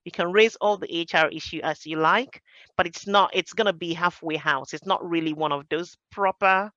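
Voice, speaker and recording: A middle-aged Nigerian-accented woman talks in a personal, conversational way on a panel. Her voice has a bright, forceful edge and an emphatic, reflective manner. The sound is dry and close, though a little dull. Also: on the low side, normal speed, dry recording. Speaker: podcast panellist, major projects professional.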